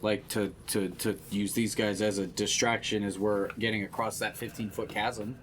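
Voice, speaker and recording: A man's voice: fairly low pitch, flat delivery, moderate pace, a bit bright, slight background noise. A man playing a tabletop role-playing game, chatting casually with a jokey, tentative way of putting ideas. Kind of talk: casual in-character game chat.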